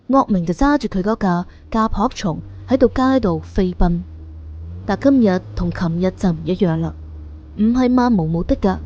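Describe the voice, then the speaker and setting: A woman's voice: mid-range voice, warm tone, no room sound, dull audio. A woman narrating an audiobook in a colloquial storytelling style.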